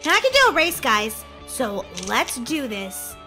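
An excitable, giggly woman hosting a gaming video with playful commentary and lots of exclamations. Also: sits high, measured pace. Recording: music under the voice. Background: music.

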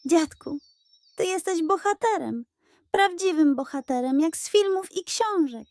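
A woman's voice: extremely high pitch, lively intonation, measured pace, darkish tone, no room sound, pitched above the performer's own voice, heavily acted. A woman voicing a curious, admiring young grandson in a children's storybook, with wide-eyed, eager questioning. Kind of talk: children's audio storybook character.

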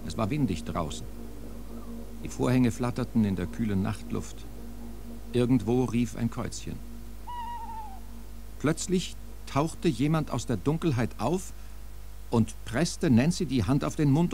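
A man's voice: mid-range voice, lively intonation, measured pace, long pauses, noisy background. A man narrating a horror audio drama in a dramatic, scripted storytelling style.

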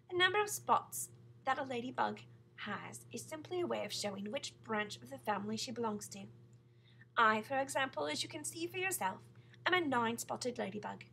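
Woman putting on a prim, proud lady ladybug, bright voice. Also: a little high, creaky, slight background noise, poor audio. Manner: precise, formal, a little snobbish. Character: proud, prim, genteel female ladybug, modest yet self-satisfied. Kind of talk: children's storybook character reading.

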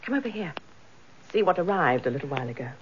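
An actress playing a playful, affectionate, outspoken wife in a radio drama, with a teasing manner. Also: female voice, fairly low pitch, fast talker, a little hiss or hum. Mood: delighted, affectionate. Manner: teasing, expressive. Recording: dull lo-fi recording with music behind.

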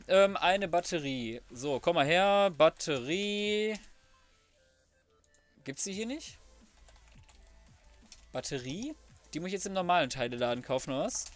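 Higher-pitched young man, casual gaming commentary. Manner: colloquial, muttering to himself, mildly grumbling. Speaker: let's play host. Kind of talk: casual gameplay commentary, thinking aloud. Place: indoors, voice-over recorded apart from the game.

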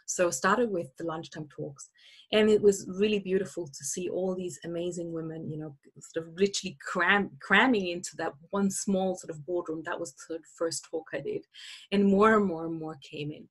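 A woman's voice: medium pitch, husky. A woman from Austria, not an English speaker, hosting an online summit in a chatty presentation with many fillers, over a webcam on a video call. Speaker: summit host. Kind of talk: webinar presentation. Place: indoor room.

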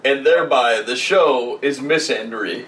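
A man's voice: mid-range voice, unhurried, a bit bright. A young man in casual banter with friends, making crude, mock-declarative jokes. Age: young adult. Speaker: podcast panelist. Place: small room on a couch, indoors. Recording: distant microphone, faint noise.

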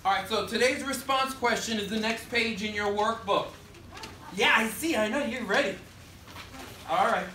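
A man in his thirties teaching third graders with call-and-response, animated and projecting to the class. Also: high voice, normal speed. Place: elementary school classroom, indoors. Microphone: far camera at the back of the room. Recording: roomy, noisy classroom, distant camera mic.

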